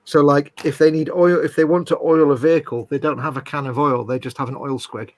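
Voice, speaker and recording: A man's voice: a little high, steady tone, fairly quick. A man with a sniffly, stuffed-up nose, co-hosting a live hobby stream and eagerly explaining things. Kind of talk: casual chat.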